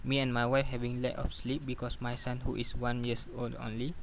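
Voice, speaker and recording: A man's voice: medium pitch, monotone, brisk, a little hiss or hum, close to an everyday voice, poor audio. A man playing a dutiful married father in an amateur radio drama, reciting formally in non-native English phrasing. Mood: earnest, dutiful. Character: weary, dutiful married father of four in confession.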